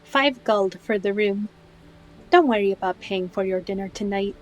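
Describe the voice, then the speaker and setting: A woman playing a calm, helpful tavern bartender in a fantasy audio roleplay, speaking politely and in a measured way. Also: mid-range voice, highs cut off, lightly acted. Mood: helpful, courteous.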